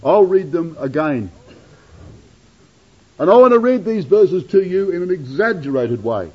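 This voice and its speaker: A man preaching in a high, animated voice that swoops and climbs through each phrase. His tone is husky, and the recording sounds dull and lo-fi. He addresses his listeners as brethren and sisters. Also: a little slow. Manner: dramatic rises and drops in pitch and loudness. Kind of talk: sermon.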